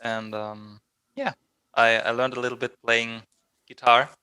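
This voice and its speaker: A man's voice: mid-range voice, flat delivery, measured pace, sharp tone, clear, resonant tone. A man from southern Germany with a German accent, speaking casually as an interview guest. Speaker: band vocalist, interview guest.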